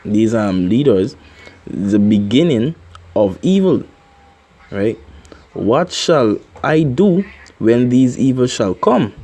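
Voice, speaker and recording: A man hosting a religious commentary, slowly reading scripture and adding remarks with many fillers and repeated "right?". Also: mid-range voice, very animated, warm tone. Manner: reads scripture slowly, filler-heavy, keeps asking "right?".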